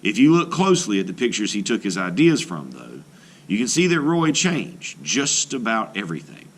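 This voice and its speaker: Low-pitched man, lively intonation, children's read-aloud narration, deliberate pauses, some background noise. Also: slightly rough. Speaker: art teacher reading a picture book aloud.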